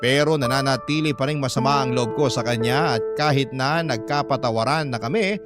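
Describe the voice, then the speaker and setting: A man reading a letter as a drama narrator, in a measured, sentimental, scripted manner. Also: medium pitch, over loud music.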